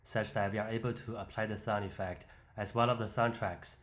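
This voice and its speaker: Low-voiced man presenting a software tutorial. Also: flat delivery, a little fast. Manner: step-by-step, instructional. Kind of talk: explanatory screen-demo voice-over.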